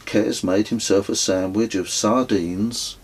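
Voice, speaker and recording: Slow, low-voiced man narrating, husky. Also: fairly even intonation. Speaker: author reading own book. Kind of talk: audiobook narration of travel memoir.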